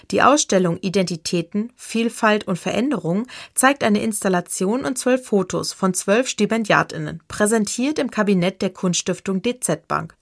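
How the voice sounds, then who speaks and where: Gravelly-voiced woman reporter, dry studio. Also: mid-range voice, no room sound. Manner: measured, even reading. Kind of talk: scripted radio feature narration.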